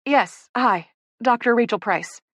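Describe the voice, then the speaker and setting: A woman's voice: on the high side, unhurried, a bit bright, no echo, highs cut off. A woman voicing a young woman doctor in an audiobook, polite and patient but guarded and hesitant. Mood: polite, uneasy. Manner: hedging, restrained politeness.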